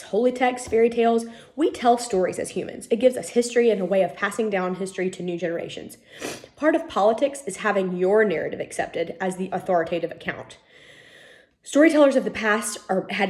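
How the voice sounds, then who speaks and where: A young woman teaching an online college class while nursing a cold, her voice a little sniffly. She talks to students in a loose, friendly way, dotted with "um"s, into a laptop mic at a middle pitch. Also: a little mellow. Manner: chatty, informal, many "um" fillers. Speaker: college lecturer. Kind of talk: recorded online lecture.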